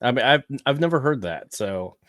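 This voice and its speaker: A man's voice: medium pitch, sing-song, moderate pace, a bit bright, no room sound, clean studio sound. A man hosting a casual podcast interview, asking long thoughtful questions and adding short backchannels. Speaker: podcast host.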